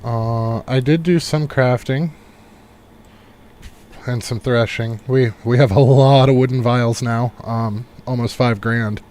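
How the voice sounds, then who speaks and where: A man giving relaxed, rambling live commentary on a game he is playing, thinking aloud as he goes, while recovering from a cold. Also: mid-range voice, takes it slowly. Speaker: game streamer. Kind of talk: casual live gameplay commentary.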